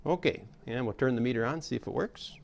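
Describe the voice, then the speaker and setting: A man's voice: medium pitch, fast talker, creaky. A middle-aged man giving a casual, hands-on tutorial in a folksy, step-by-step way. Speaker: how-to host demonstrating a repair.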